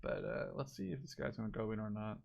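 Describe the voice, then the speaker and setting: A man's voice: on the low side, expressive, fast, creaky, darkish tone, dull audio. A man giving casual live commentary on a video game match, thinking aloud as he watches.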